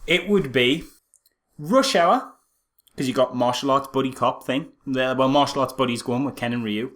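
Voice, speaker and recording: A man's voice: mid-range voice, moderate pace, a bit bright. A man co-hosting a podcast with casual comic banter, jokey and mock-incredulous. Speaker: podcast co-host.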